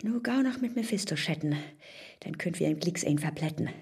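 A bold, cunning woman in a comic radio play, speaking northern Low German. Her husky voice swings widely from theatrical exclamations to conspiratorial near-whispers, all in rhyme. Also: lively intonation, a little fast, a little mellow, full character voice. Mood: mock seductive.

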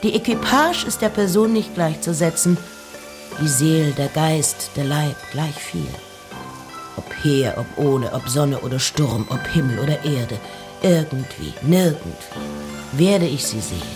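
An actress playing an intense, eccentric nineteenth-century woman poet, reciting in a literary radio play with deliberate, theatrical phrasing and pauses. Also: sits low, animated. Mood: imperious, eager. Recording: music behind the voice.

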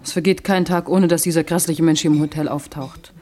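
Low-voiced suspicious wife. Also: female voice, fairly even intonation, a little fast, warm tone. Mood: irritated, suspicious. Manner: pointed, exasperated. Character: irritated, suspicious wife, dryly sharp-tongued. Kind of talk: German radio play acting. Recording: vintage radio play, faint music.

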